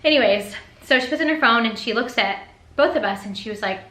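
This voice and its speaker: Young female vlogger, high and bright, chatty storytelling style, roomy sound. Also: a little fast. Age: young adult. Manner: chatty, animated retelling with acted quotes. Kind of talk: casual story time vlog. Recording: roomy echo.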